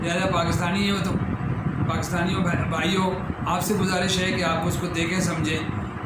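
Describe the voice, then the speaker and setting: A man in his sixties with a Pakistani accent, a native Urdu speaker, giving an informal online banking lecture in a halting way, repeating and restating his phrases. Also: high voice. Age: 60s. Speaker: banking teacher and channel host. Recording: very echoey room, loud background noise.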